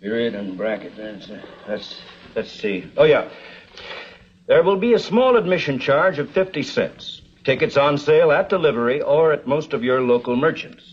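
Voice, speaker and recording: An actor playing an older American frontier newspaperman in a TV western, folksy, dry and deliberate. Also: medium pitch, lively intonation, slightly rough. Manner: folksy, reads copy aloud deliberately. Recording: dull old television soundtrack.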